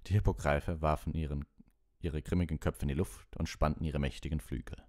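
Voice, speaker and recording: A man with a remarkably deep voice reads a storybook aloud. It is scripted audiobook narration, recorded close and dry. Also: steady tone, brisk, a little mellow, no room sound. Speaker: audiobook reader.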